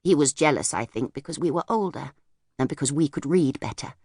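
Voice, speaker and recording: A woman voicing a reserved, wistful older lady in an audiobook, speaking gently and hesitantly as she looks back. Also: low-pitched, animated, brisk, husky, no room sound, clean studio sound, full character voice. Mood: reminiscing. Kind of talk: audiobook character voice.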